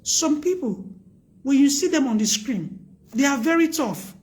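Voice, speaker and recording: A woman with a loud voice hosting a live stream, giving earnest, preachy relationship advice and repeating her points for emphasis. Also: high-pitched, animated, measured pace.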